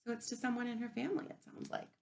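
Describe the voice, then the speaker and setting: A woman teacher reading a picture book aloud to young children in an expressive, instructive way, pausing to ask questions. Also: a little high, gravelly, slight background noise. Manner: animated, instructive, asking the listeners questions.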